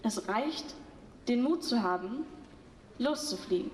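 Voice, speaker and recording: A woman acting in an amateur stage play, reciting in a soft, reflective way. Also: a little high, animated, takes it slowly, echoey room, slight background noise.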